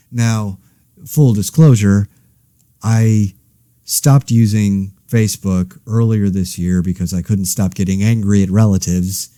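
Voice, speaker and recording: A man's voice: on the low side, mellow, dry recording, clean studio sound. A man playing an exasperated, anxious everyman podcaster in a conversational audio drama, rambling wryly and interrupting himself. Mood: wry, frustrated. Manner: wry, rambling, self-interrupting. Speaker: host of a fictional monologue podcast.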